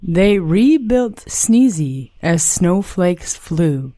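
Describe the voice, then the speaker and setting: Expressive, unhurried woman narrating a storybook. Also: lowish voice, no echo, clean studio sound. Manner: measured, expressive, read-along delivery.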